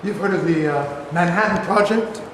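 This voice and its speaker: A man's voice: high-pitched, moderate pace, close to an everyday voice. A man in a staged reading playing a distinguished, deferential government secretary addressing his president. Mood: formal, explaining. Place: large room at a live staged reading. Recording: very echoey hall, far off, faint noise.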